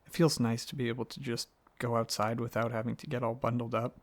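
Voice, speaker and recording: A man playing an affectionate, excitable dragon boyfriend in an ASMR roleplay, talking casually and a little haltingly, with a gravelly voice. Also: mid-range voice, natural voice. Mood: excited, affectionate. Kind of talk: ASMR roleplay, softly addressing the listener as partner.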